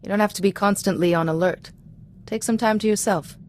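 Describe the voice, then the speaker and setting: An actress playing a calm, principled Jedi diplomat in a video game, offering composed, gently admonishing counsel. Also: female voice, mid-range voice, a little hiss or hum, lightly acted. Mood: calm, advising. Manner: composed, measured wording, advisory. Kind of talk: video game character dialogue.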